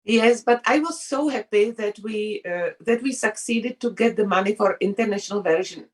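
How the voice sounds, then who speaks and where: A woman whose first language is Czech, a film director answering interview questions in halting speech with many restarts. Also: mid-range voice, husky, recorded from a distance. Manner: halting, searching for words, frequent restarts.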